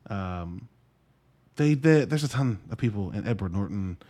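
A man's voice: lowish voice, lively intonation, moderate pace, warm tone. A man giving a casual solo movie review in a conversational, wry way, breaking into his own thoughts with dry asides. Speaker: podcast host and movie reviewer.

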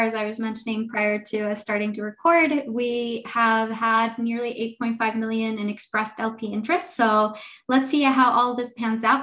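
Woman, thirties, podcast guest, higher voice, chatty, animated. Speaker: venture fund partner, guest. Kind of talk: conversational interview answers.

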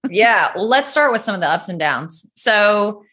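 A woman's voice: mid-range voice, lively intonation, a little slow, bright, forceful tone. A woman hosting a business podcast, speaking in an upbeat, enthusiastic way. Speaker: podcast host, business mentor.

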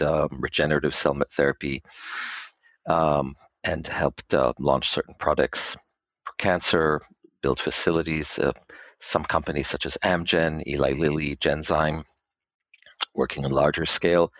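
A middle-aged man from Israel, a biotech quality expert, answering podcast interview questions in a measured, halting way with many fillers. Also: very deep voice, a bit bright, no echo.

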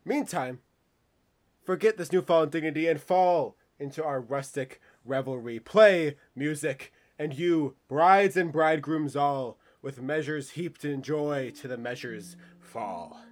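Male actor as banished duke, slightly high voice, stately, welcoming, Shakespearean radio drama. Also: unhurried, lightly acted. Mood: joyful, welcoming. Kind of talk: Shakespearean radio play acting.

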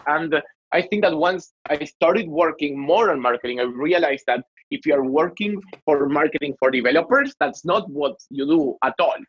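A man from Argentina with an Argentine accent, talking casually as a guest in an interview, chatty and animated with frequent fillers. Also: fairly high pitch, moderate pace, lo-fi sound. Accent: Argentine Spanish. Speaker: guest, marketing executive.

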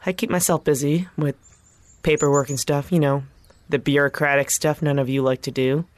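A woman acting in an audio drama as a protective team boss with a deadpan, slightly awkward way of talking. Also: low voice, normal speed, natural voice. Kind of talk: naturalistic fiction podcast acting.

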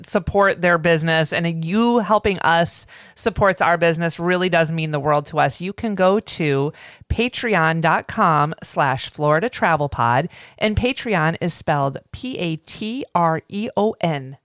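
A woman's voice: fairly low pitch, measured pace, no echo, studio quality. A woman co-hosting a podcast in casual chat. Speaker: podcast co-host.

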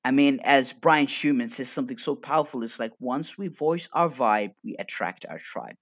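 A man whose first language is Arabic, an energetic guest chatting on a live podcast and laughing often. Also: medium pitch, moderate pace, no room sound, studio quality. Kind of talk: casual live-stream chat.

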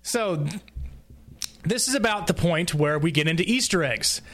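A man hosting a casual podcast roundtable, speaking in a halting, self-deprecating way. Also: on the high side, expressive, fairly bright tone. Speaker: podcast host recapping an audiodrama.

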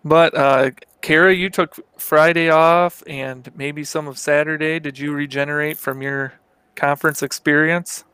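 A man, a magazine editor co-hosting, talking in a monotone, self-deprecating way. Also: a little high, unhurried, clean tone, dry recording. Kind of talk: casual chat.

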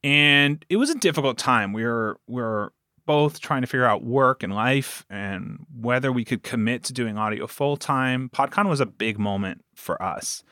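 Bright-voiced man, casual podcast storyteller. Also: medium pitch, normal speed, no room sound, clean studio sound. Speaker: podcast producer and game master, interview guest.

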